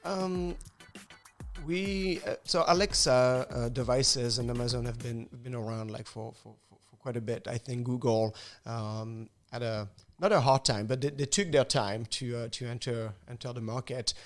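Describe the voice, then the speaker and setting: A man's voice: mid-range voice, moderate pace, soft background music. A man with a French accent answering as an expert guest in conversation, with hesitant, rambling phrasing. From France.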